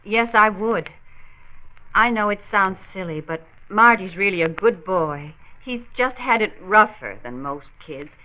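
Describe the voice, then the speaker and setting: A woman's voice: mid-range voice, expressive, a little slow, lo-fi sound, close to an everyday voice. An actress playing an earnest, protective widowed mother in a 1940s radio drama, speaking plainly on an old recording. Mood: earnest, pleading.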